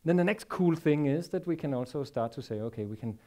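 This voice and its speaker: A man in his forties with a Danish accent giving a technical conference talk on a large stage, halting and repeating words often. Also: fairly high pitch, mellow. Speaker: conference speaker.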